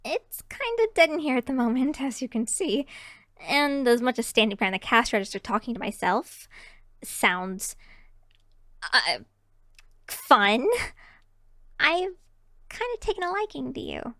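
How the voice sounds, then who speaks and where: A woman's voice: high voice, big pitch swings, measured pace, long pauses, sharp tone, no echo, studio quality, heavily acted. A woman voicing a shy, cheery young kitsune barista in an ASMR roleplay, chatting to the listener in a bashful, hesitant, giggly way. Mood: bashful, hopeful.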